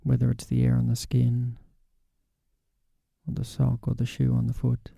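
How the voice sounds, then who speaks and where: A man guiding a body scan meditation in a calm, gentle, unhurried way with long pauses. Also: mid-range voice, gravelly, no room sound, studio quality. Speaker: meditation guide.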